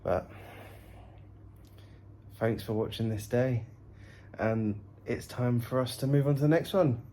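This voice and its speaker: A man's voice: medium pitch, unhurried, warm tone, slight background noise. A man with a British accent, giving a casual recap as a travel vlogger.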